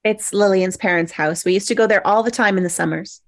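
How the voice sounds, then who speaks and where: Woman acting spirited everyday woman, medium pitch. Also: fairly quick, no echo, clean studio sound, natural voice. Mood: uneasy. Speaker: actor in an online script table read. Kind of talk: live comedy screenplay table read.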